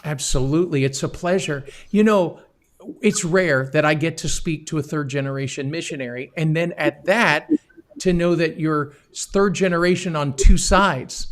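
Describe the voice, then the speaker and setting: A man's voice: fairly high pitch, normal speed. A man hosting an online missions interview, upbeat and speaking directly to the viewers. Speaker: host.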